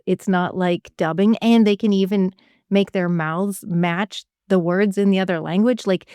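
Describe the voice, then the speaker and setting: A woman podcast host from Canada with a smooth, clear voice, chatting with her guest. She sounds enthusiastic and talkative and laughs easily. The sound is dry and close to the mic. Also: fairly low pitch, darkish tone, dry recording. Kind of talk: casual interview chat.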